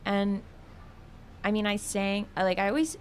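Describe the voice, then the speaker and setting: A woman's voice: medium pitch, moderate pace, slight background noise. A young woman from New York City, chatting casually as an interview guest, filling her talk with "like" and laughing easily. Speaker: guest artist.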